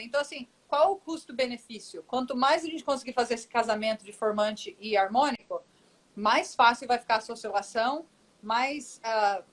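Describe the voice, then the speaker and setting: A woman's voice: fairly high pitch, low-quality recording. A woman voice teacher answering questions in a live online interview, explaining technical points on a video call. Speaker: guest voice teacher.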